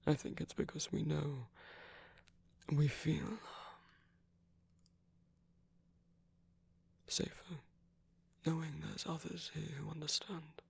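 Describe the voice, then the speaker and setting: Man playing a doting villain boyfriend, ASMR romance roleplay, husky near-whisper, gravelly fry, slow and soothing. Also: a little high, steady tone, exaggerated voice. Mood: soothing, tender. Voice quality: breathy, often near-whispered.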